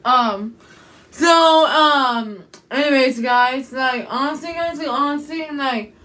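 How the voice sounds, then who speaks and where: A woman's voice: high voice, slow pace, sharp tone. A young woman vlogging casually from a small room into a propped-up phone, rambling with lots of fillers and a sore throat. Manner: rambling, repeats herself, many fillers. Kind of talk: casual rambling vlog to viewers. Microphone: phone camera propped nearby.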